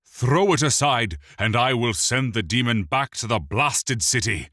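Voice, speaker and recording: A man's voice: sits low, animated, takes it slowly, dry recording, studio quality, full character voice. A man doing a furious haughty elf prince. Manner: imperious, yelling, formal speech. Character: tall proud male elf prince, furious.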